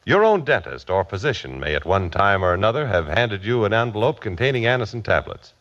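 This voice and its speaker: A man's voice: fairly low pitch, big pitch swings, a little fast, a bit bright. A man announcing an old radio commercial in a formal, emphatic sales delivery. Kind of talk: 1940s radio advertisement read. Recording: muffled old radio recording.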